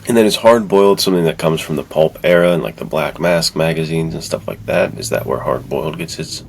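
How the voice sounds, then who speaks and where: A man's voice: a bass voice, brisk, gravelly. A man co-hosting a podcast in casual chat, reacting with slangy emphasis and putting questions to his partner. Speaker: podcast co-host.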